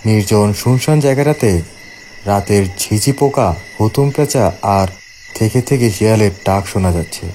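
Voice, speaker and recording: Low-voiced man narrating a horror story. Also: moderate pace, warm tone, slight background noise.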